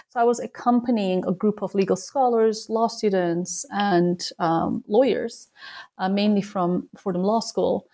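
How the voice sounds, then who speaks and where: Gravelly, mellow-voiced woman from Turkey. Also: mid-range voice. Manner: thoughtful, many fillers and restarts. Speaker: guest author, human rights lawyer. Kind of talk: interview answers.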